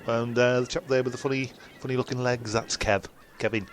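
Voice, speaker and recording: A man playing a chatty, sweary castaway who talks haltingly and repeats himself in an amateur comedy drama. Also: medium pitch, steady tone, slight background noise, lightly acted. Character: sweary, chatty castaway bloke named John.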